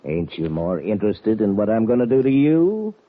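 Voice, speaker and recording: A menacing Western villain from an old radio serial. His husky voice rises and falls dramatically as he taunts and threatens with a tough drawl. The recording is dull and dated. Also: lowish voice, big pitch swings, moderate pace. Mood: sneering, gloating. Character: menacing, sneering Western outlaw, a double-crossing male villain.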